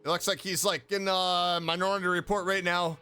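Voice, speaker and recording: A man's voice: high voice, little pitch movement, a little fast, bright, forceful tone. A man streaming a game, giving casual, playful, exclamatory reaction commentary. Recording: faint game music behind.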